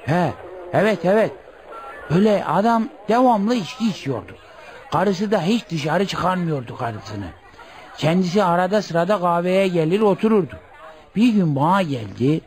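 A chatty village headman with a very high-set male voice. He rambles along in folksy radio-play style, pausing and interjecting as he tells his story. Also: lively intonation, darkish tone, some chatter behind. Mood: chatty, recounting. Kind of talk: Turkish radio theatre acting.

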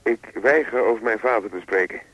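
A man's voice: medium pitch, a little fast, breathy edge, no echo. An actor playing a defiant young male caller in a radio drama, heard through a telephone effect. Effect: telephone filter. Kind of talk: Dutch radio drama acting. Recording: dull telephone-like sound, dry.

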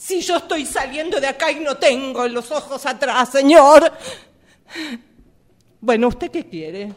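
Radio play role: indignant female motorist, acted by a woman, high voice, measured pace, insistent and argumentative. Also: animated. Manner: protesting, insistent, curtly polite. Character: indignant, argumentative female driver stopped by an officer. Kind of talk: radio theatre workshop monologue.

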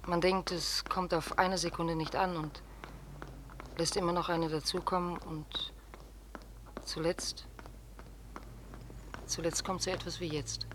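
An actress playing a grief-stricken, self-blaming poor mother in a radio drama monologue, breathy, halting and subdued. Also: on the low side, flat delivery, fairly bright tone, a little hiss or hum. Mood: sorrowful, self-blaming.

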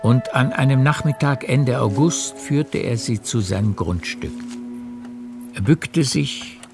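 A man narrating a literary radio drama with measured, deliberate phrasing. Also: mid-range voice, creaky, music in the background.

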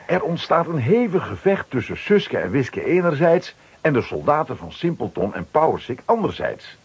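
Male narrator, very husky texture, lively swooping intonation, dramatic scripted storytelling for children. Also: a little high, moderate pace.

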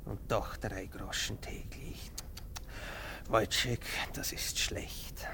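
An actor playing a pompous, excitable doctor in a German radio play, theatrical, lurching between whispers and outbursts. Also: male voice, on the low side, slow pace, creaky. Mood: reproachful. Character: pompous, excitable male doctor obsessed with experiments.

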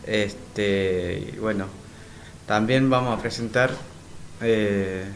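A man's voice: lowish voice, steady tone, extremely slow, slight background noise. A man presenting a community radio programme in a casual way, introducing segments to listeners.

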